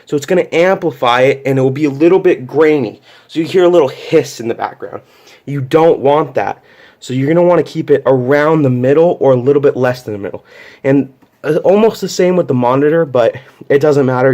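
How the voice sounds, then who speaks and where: A man's voice: mid-range voice, a little mellow. A man giving a casual, unscripted hands-on tech tutorial, explaining step by step and often repeating himself. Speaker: tutorial presenter.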